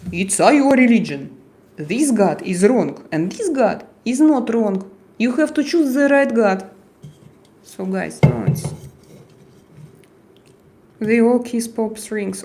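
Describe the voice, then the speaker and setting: Slow, gravelly Russian-accented woman. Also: a little high, warm tone, a little hiss or hum. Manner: hesitant, halting, with frequent "uh" fillers and restarts. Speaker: livestream host. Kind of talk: casual livestream chat answering viewer comments.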